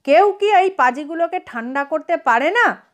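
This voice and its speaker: A woman's voice: very high voice, animated, normal speed, voice pushed up. A woman storyteller voicing an exasperated mother of four unruly boys in a pleading, scolding manner.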